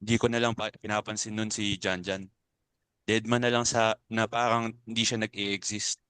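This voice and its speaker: Naive college son played by a man, lowish clean voice, dry sound, rambling drama delivery. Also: flat delivery, normal speed, bright, forceful tone, clear, resonant tone, no echo. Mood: puzzled, wounded. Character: naive, sensitive young male college student, bullied son. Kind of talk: dramatic stage reading in Tagalog.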